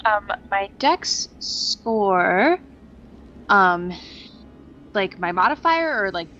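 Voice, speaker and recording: A woman's voice: medium pitch, sing-song, very slow, pushed and bright, lo-fi sound, a little hiss or hum. A woman playing a tabletop game, speaking in casual chat.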